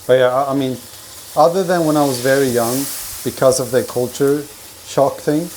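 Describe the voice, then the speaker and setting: A man's voice: medium pitch, steady tone, darkish tone, poor audio. Rambling, sweary man over kitchen noise. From Sweden. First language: not Swedish; grew up with several languages. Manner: rambling, sweary, halting with repeats. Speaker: livestreamer chatting with viewers. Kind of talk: casual livestream chat, personal storytelling. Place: home kitchen while cooking. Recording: clear kitchen noise, frying food. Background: frying food, kitchen ambience.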